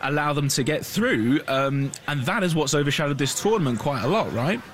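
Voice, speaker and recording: A man's voice: a little high, animated, fairly quick, fairly bright tone. A man speaking as a business reporter in a live news discussion, conversational and often checking in with tag questions.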